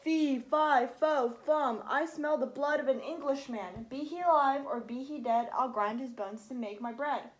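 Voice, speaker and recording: Woman doing a booming storybook giant, huge, hungry man-eater, loud chant, fairly high voice. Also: measured pace, few pauses. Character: huge bellowing man-eating male giant.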